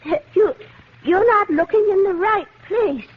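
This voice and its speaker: A muffled old radio mystery recording features a flustered elderly lady detective. Her high voice swoops about dramatically, and she speaks slowly with fluttery hesitations. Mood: anxious fluster. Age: older. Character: flustered, dithering elderly spinster amateur sleuth, retired teacher. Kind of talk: 1950s radio mystery acting.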